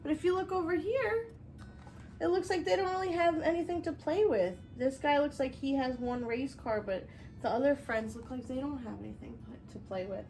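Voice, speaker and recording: A woman reading a picture book aloud as a children's teacher, expressive and instructive, explaining things directly to young viewers. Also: sits high, moderate pace, a little hiss or hum. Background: music.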